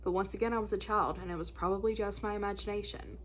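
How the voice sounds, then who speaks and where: A woman's voice: mid-range voice, steady tone, fast talker, a little hiss or hum. A woman chatting casually and reading spooky listener stories in an informal, conversational way, sounding a little stuffed up. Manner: informal, conversational, slangy asides. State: congested, stuffy nose. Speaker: host of a spooky story channel.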